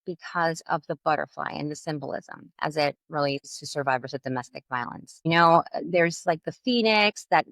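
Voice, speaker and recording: A woman's voice: deep voice, moderate pace, hardly any pauses, a bit bright, no room sound. A woman from Puerto Rico, a family law attorney and podcast guest, explaining things animatedly with vivid metaphors. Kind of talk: conversational interview.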